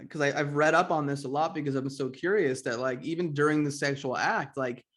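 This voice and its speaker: A man's voice: a little high, brisk, fairly bright tone. Rambling middle-aged male podcast host. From United States. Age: 40s. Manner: rambling, many fillers and restarts. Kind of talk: candid conversational interview.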